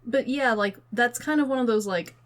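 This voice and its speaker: A woman's voice: on the high side, normal speed. A woman co-hosting a podcast, chatting casually about films in an informal, rambling way peppered with "like". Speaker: podcast co-host.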